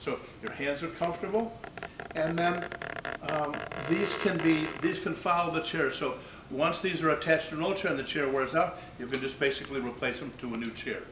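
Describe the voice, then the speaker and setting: Male product demonstrator, halting and unscripted, restating as he goes; dull, roomy sound with light noise behind. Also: fairly high pitch. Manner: halting, repeats and rephrases phrases. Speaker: company presenter demonstrating a product. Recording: echoey room, dull muffled audio, noticeable background noise.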